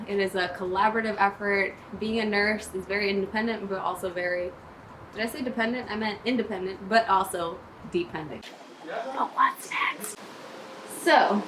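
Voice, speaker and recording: A woman's voice: medium pitch, noisy background. A young woman from Maryland, an emergency nurse, talking to the camera in a casual, upbeat and chatty way. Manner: upbeat, chatty, laughs often. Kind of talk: casual video application to camera. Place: indoors, plain room with panelled wall. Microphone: camera at arm's length in front of her.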